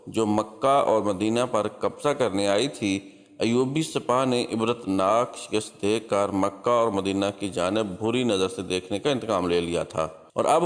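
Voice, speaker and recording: A man narrating a scripted historical story as its host, in a solemn, formal, declamatory manner. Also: lowish voice. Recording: long hall-like reverb.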